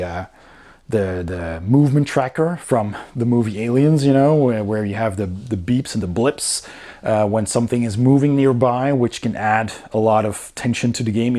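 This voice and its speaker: A man's voice: fairly low pitch, dark tone. A man giving a casual, unscripted review as host, with an informal, enthusiastic way of talking. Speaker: board game reviewer, host.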